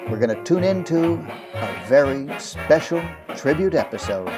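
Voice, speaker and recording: A man's voice: mid-range voice, sing-song, moderate pace, music in the background. A man hosting an interview, putting questions to his guest and introducing what comes next. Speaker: host, interviewer.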